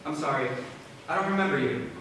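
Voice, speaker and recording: A man's voice: a little high, expressive, a little fast, a bit bright. A male actor playing an awkward, curious teenage boy in an amateur stage play, tentative and questioning. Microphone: distant video camera. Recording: distant microphone, echoey noisy hall.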